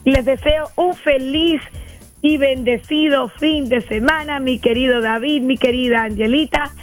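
A woman, an insurance agent guest on a radio show, giving a warm blessing farewell. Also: high voice, steady tone, a little slow, faint music behind. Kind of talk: radio sign-off.

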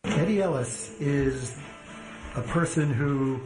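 A man introducing a guest speaker at an event, halting with many restarts. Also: mid-range voice, roomy sound, loud music behind the voice, rough recording. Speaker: event moderator.

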